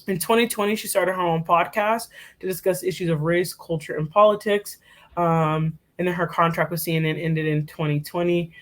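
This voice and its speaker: A woman's voice: fairly low pitch, steady tone, normal speed. Chatty young woman co-hosting a podcast. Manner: chatty, filler-heavy, self-correcting. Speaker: podcast co-host. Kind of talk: casual presentation.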